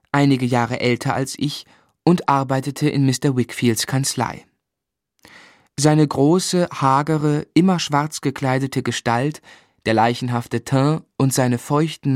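An actor playing a grown man who reflectively narrates his boyhood in a German radio drama, in a measured literary delivery. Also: medium pitch, no echo, clean studio sound, close to an everyday voice.

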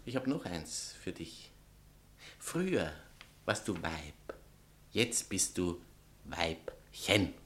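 A man's voice: low-pitched, very animated, takes it slowly, pauses often, a bit bright, full character voice. An actor playing a vain, scornful male writer and spurned lover in a satirical stage comedy, pompous and declamatory. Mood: sneering, scornful. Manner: pompous, theatrical, declamatory, swinging from outbursts to sneers. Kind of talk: theatrical satirical comedy acting.

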